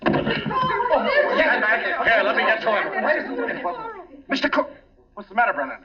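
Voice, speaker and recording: An actor playing a stern investigator in an old radio thriller, accusing in emphatic, clipped phrases. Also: male voice, very high voice, huge pitch swings, slow, a loud crowd, heavily acted. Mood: urgent. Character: stern, accusing male investigator making an arrest. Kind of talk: 1940s radio thriller acting. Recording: muffled old radio broadcast.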